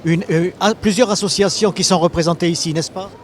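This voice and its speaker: A man's voice: sits high, fairly even intonation, a little fast. A man roaming a trade fair, asking stand holders questions in a chatty, joking way with many restarts. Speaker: fair reporter. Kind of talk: roving interviews at a trade fair.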